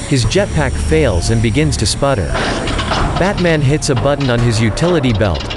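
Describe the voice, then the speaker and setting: A man narrating an audio drama in a dramatic, scripted storytelling style. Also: mid-range voice. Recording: voice under loud dramatic music.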